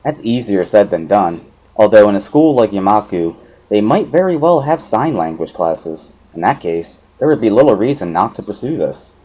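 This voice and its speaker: A man narrating a first-person visual novel story as an expressive dramatic reading. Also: on the low side, moderate pace.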